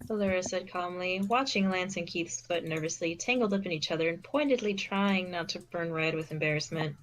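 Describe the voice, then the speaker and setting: Female narrator on the lower side, reading prose fiction aloud with the odd stumble. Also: fairly quick, poor audio. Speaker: fan fiction reader and narrator. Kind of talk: scripted narration of a story read aloud.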